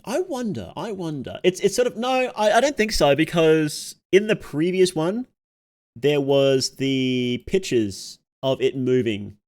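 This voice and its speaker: A man's voice: fairly high pitch, animated, a little slow, a little mellow. A man giving casual livestream commentary, thinking aloud as he goes, musing and questioning himself. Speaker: streamer reacting to horror videos.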